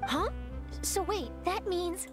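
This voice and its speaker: Upbeat young female reporter for a game, very high, lively, inquisitive. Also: slow, exaggerated voice. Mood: upbeat, curious. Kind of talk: video game voice acting. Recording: music behind the voice.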